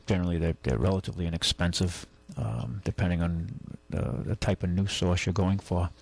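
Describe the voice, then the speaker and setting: Gravelly, low-voiced male radio host. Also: darkish tone. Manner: halting, rambling delivery. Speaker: radio call-in show host. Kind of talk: casual talk radio, reading news and chatting. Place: radio studio. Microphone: close studio microphone.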